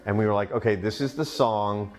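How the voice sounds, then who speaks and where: A man's voice: lowish voice, brisk, darkish tone. A man, a film director, telling behind-the-scenes stories in an interview, enthusiastic and rambling with frequent restarts. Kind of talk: interview, storytelling anecdotes.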